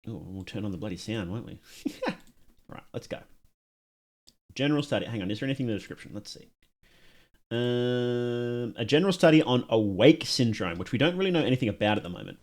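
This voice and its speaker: A man's voice: mid-range voice, animated, fairly quick. Rambling, self-mocking male streamer. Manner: rambling, self-mocking, laughs at his own jokes. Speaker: livestreamer reacting to videos. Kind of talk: casual live-stream commentary.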